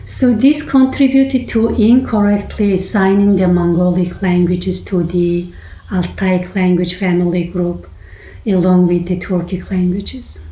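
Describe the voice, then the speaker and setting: A woman in her forties hosting and explaining a language topic in a measured, academic way, recorded on a laptop in a small room. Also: fairly low pitch, flat delivery, dark tone. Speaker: host. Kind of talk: scripted explainer lecture. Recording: roomy echo.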